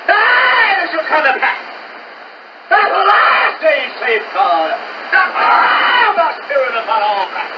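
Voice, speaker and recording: Over a noisy, muffled and distant shortwave broadcast, a man delivers a fiery holiness sermon. He screams at an extremely high pitch, then drops abruptly into talk and climbs back into shouted exhortation. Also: big pitch swings, slow, a loud crowd. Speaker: preacher. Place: heard from a shortwave receiver's speaker.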